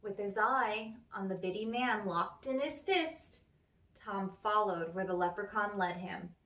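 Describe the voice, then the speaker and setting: A woman narrates a children's tale at an unhurried pace with very animated intonation. Her expressive storytelling is recorded in a roomy space, away from the mic. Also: medium pitch. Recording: roomy, echoey, distant microphone.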